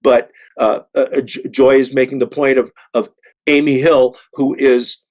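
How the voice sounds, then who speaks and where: A man of sixty speaking earnestly in a panel discussion, halting and repeating words for emphasis. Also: medium pitch, level tone, a little mellow. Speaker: actor on a panel.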